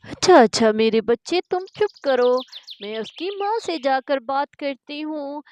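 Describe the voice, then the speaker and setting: Cartoon sparrow mum played by a woman: high, unhurried, concerned yet firm, music behind. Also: expressive, darkish tone. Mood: soothing. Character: reasonable, concerned mother sparrow standing up for her child.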